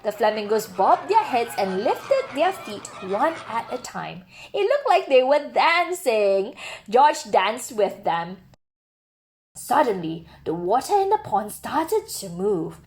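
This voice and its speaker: Animated, high-voiced woman reading a storybook. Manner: expressive, dramatic emphasis for young listeners. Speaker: storybook reader. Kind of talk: expressive children's read-aloud narration.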